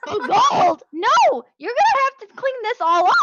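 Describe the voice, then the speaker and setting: A woman voicing a small, squeaky-voiced female shopkeeper who scolds and gets indignant. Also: wildly swooping pitch, a little slow, fairly bright tone, lo-fi sound, slight background noise, full character voice. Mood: scolding.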